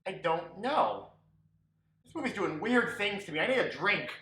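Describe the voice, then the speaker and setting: A young man narrating a comedic movie review in a sarcastic, mocking tone with quick asides. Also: high-pitched, sing-song, pushed and bright, husky.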